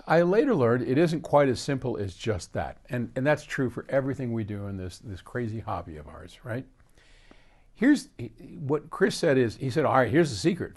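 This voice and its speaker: Older american guy host, chatty and rambly, really lively up and down pitch, explains stuff thru little stories. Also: husky. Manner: animated, digressive storyteller who quotes conversations. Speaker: host answering audience questions. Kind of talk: casual, rambling explanatory talk.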